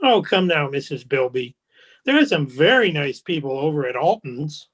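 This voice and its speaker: A suave, condescending gentleman acted by a man speaks in a radio drama. His voice sits a little high and has a husky grain. The delivery is genteel and patronizing. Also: sing-song, moderate pace, full character voice. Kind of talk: radio play acting.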